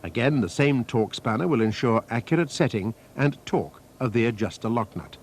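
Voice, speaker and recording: Man narrating with very lively pitch, slight noise. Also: a little fast. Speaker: narrator of a technical training film. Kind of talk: scripted instructional narration. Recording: noisy archive training-film soundtrack.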